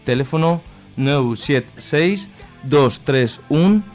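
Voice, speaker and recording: A man's voice: on the high side, expressive, very slow, darkish tone. A man reading scripted announcements as a radio presenter. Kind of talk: scripted announcements read aloud. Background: music, guitar.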